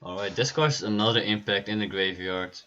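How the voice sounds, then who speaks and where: Bright-voiced man casting a card game. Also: lowish voice, dull audio. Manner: relaxed, laughs easily, frequent "uh" pauses. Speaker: stream host and caster. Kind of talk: esports game commentary.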